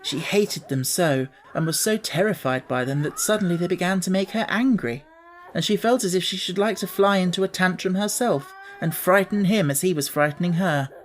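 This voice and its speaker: A woman with a slightly low voice reads a children's book. Her delivery is scripted, expressive storytelling, as an audiobook narrator. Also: normal speed.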